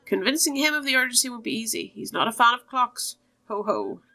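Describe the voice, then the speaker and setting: A woman voicing a kindly, knowing old witch in a coaxing, cheerfully unhurried game character voice. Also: on the high side, lively intonation, pushed and bright, faint music behind, voice pushed up. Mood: unhurried, amused.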